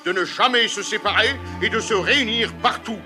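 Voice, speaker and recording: A man's voice: high voice, moderate pace, over music, close to an everyday voice. A man playing a fervent revolutionary lawyer, declaiming like an orator in a cartoon dub. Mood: fervent, solemn. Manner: loud, declaiming. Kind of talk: French-dubbed cartoon acting, declamation.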